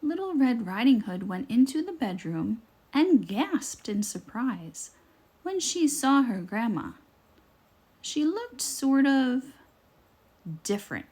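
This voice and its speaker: A woman reading a scripted children's fairytale aloud in a deliberate, expressive storytelling manner. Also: sits high, slightly rough.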